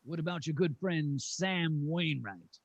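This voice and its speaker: An actor playing a cold, sneering old banker in 1940s radio drama, with a gravelly voice and deliberate, probing delivery. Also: male voice, on the high side, no echo, dull audio. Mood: cold contempt. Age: older.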